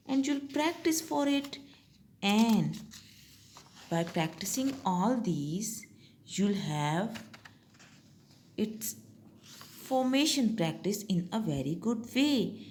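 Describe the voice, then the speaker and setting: A young woman teacher with a Pakistani English accent gives young pupils their summer tasks one step at a time. She speaks slowly and patiently. Her pitch swings up and down in a lively, sing-song way. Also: slight background noise. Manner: slow, patient, step-by-step instruction.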